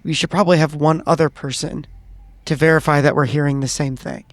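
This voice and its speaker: A man roleplaying in a tabletop game, speaking in a measured, reasoning way. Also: a little high, steady tone, dry recording. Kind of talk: in-character improvised roleplay.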